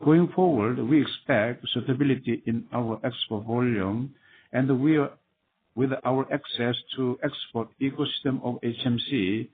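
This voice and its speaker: A man speaking as a managing director, giving formal, scripted earnings call remarks in halting phrases. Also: mid-range voice. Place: conference call. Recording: tinny phone line with clear noise.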